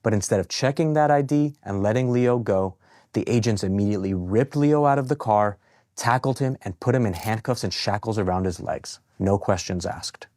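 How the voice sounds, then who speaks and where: Man, legal advocate, scripted narration, measured, deep-ish voice, dry sound. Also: darkish tone, no room sound.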